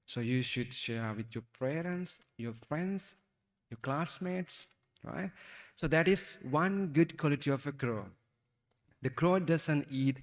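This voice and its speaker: A man with a Sri Lankan accent, a Buddhist monk giving a talk to young listeners, speaking haltingly and asking them questions. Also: mid-range voice, long reverb tail. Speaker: Buddhist monk teaching youth. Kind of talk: Dhamma talk for children.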